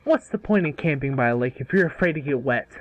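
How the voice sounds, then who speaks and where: A performer voicing a cocky, excitable ten-year-old boy hero with a boastful delivery in an amateur cartoon read. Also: medium pitch, fast talker, narrow, tinny audio, faint music behind, lightly acted. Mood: smug, mocking. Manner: boastful, exclamatory.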